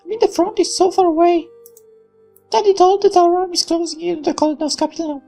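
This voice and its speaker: A man voicing a polite, caring young woman in a visual novel, gentle and girlish. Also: extremely high pitch, fairly even intonation, fast, creaky, faint music behind, voice pushed up. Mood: caring, composed.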